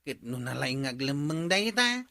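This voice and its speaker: A man's voice: on the high side, big pitch swings, moderate pace, gravelly, bright, forceful tone, full character voice. A man playing an inquisitive, teasing villager in a comedy audio drama, speaking with an Ilocano accent.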